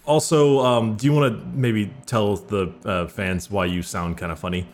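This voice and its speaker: A man's voice: fairly low pitch, fairly even intonation, moderate pace. A man hosting a podcast, opening the show and chatting casually with crude jokes. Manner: crude, joking. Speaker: podcast host. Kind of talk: casual chat.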